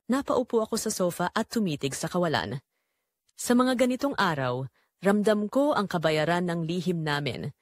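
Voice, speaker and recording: A woman's voice: on the low side, dry recording, studio quality. A woman narrating a dramatic first-person story in an emotive way.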